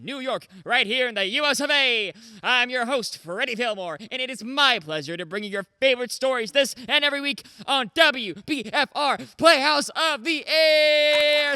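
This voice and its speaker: An exuberant old-time radio announcer, acted by a man, pitched high and swooping grandly. He projects in a showy 1940s manner, bright and forceful, as in a live radio play. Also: a little hiss or hum, full character voice.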